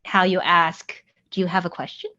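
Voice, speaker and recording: An American woman co-hosting a podcast in friendly casual chat, laughing often and filling pauses with "like" and "um". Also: lowish voice, flat delivery, pushed and bright. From United States. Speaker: podcast co-host. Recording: dull dry lo-fi mic.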